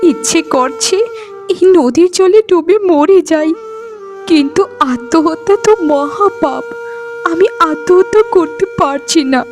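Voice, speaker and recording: A woman's voice: extremely high pitch, unhurried, music in the background. A woman playing a humble, rejected young wife in a melodramatic cartoon, speaking in a plaintive, lamenting manner. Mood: despairing.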